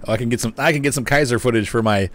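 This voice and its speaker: A middle-aged man chatting casually on a game live stream, easygoing and quick to laugh, reading out viewer messages as he plays. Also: mid-range voice, fairly bright tone. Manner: chatty, easygoing, laughs often, reads chat aloud.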